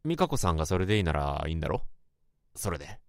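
A brooding office worker narrating. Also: male voice, low voice, sing-song, dry recording, studio quality. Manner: brooding, halting inner monologue. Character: troubled male office worker. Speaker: narrator and protagonist. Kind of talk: first-person radio drama narration. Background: music.

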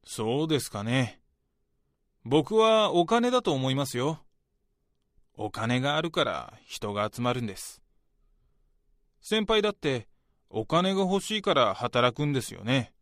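A man's voice: medium pitch, sing-song, a little slow, long pauses, fairly bright tone, dry recording, studio quality. A man playing an ambitious, self-assured young office worker in a radio drama, speaking politely and earnestly to his senior. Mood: confident, earnest. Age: young adult. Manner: polite junior speech, talkative about ambitions. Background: background music.

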